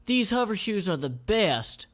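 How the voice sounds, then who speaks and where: Comic read-aloud role: male reader as cheerful young woman musician, high, friendly, keen. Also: sing-song, unhurried. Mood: eager, friendly.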